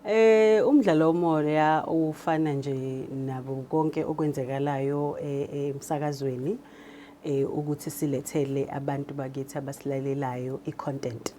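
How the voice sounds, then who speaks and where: A woman's voice: low voice, fairly even intonation, moderate pace, dark tone, a little hiss or hum. A South African woman, a native Zulu speaker, explaining her work as a drama producer in an interview. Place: radio drama studio.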